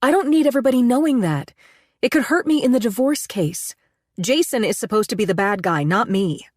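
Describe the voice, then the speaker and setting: Woman narrator doing a pleading, anxious wife, pitched up, tense, dry audiobook read. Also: animated, normal speed, dry recording. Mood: alarmed. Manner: pleading, strained. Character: anxious middle-aged woman, defensive, rattled.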